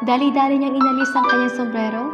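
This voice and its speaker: A woman's voice: high voice, fairly even intonation, quick pace, lo-fi sound. A woman reading scripted narration for an amateur radio drama. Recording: long reverb, very loud music.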